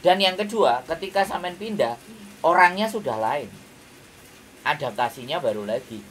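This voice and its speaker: Javanese guy lecturing someone casually, pushy questions, mic kinda far, loud frying noise behind. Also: a little high, expressive, normal speed. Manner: emphatic, lecturing, rhetorical questions. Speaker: YouTuber giving advice. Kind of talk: casual counselling chat. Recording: distant mic, noisy kitchen with frying.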